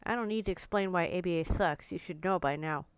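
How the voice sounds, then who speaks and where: A woman in her forties talking casually to camera in a blunt, outspoken way, her voice a little croaky and hoarse. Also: lowish voice, a little fast, no room sound. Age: 40s. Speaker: vlogger.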